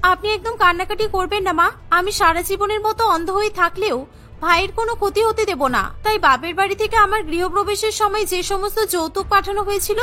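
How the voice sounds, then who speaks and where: A woman's voice: extremely high pitch, fairly quick, pushed and bright, soft background music. A woman voicing an earnest young daughter-in-law who is eager to please and pleads her case in a cartoon. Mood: reassuring. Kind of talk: Bengali cartoon voice acting.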